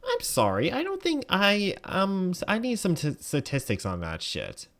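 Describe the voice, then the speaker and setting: A man's voice: high voice, wildly swooping pitch, moderate pace, fairly bright tone. A man streaming a game, rambling and full of fillers as he comments. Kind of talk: gaming commentary.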